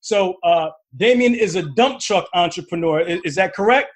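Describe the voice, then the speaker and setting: High-voiced easygoing podcast host man. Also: normal speed. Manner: easygoing, repeats words and slangy asides. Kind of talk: casual interview chat.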